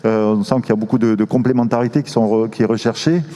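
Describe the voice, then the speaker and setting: Mellow-voiced male moderator in a reverberant hall. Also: lowish voice, flat delivery, fast. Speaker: forum moderator. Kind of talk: moderating a panel discussion.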